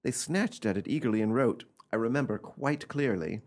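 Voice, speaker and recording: A man playing an eccentric gentleman who recounts an uncanny family tale in a theatrical, emphatic radio drama style. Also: mid-range voice, animated, normal speed, lightly acted. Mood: intrigued, ominous.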